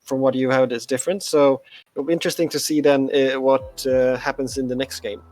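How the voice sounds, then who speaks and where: A man's voice: mid-range voice, little pitch movement, fairly quick, a little mellow. A man from Sweden co-casting a live strategy game, hesitant with lots of fillers. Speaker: esports co-caster.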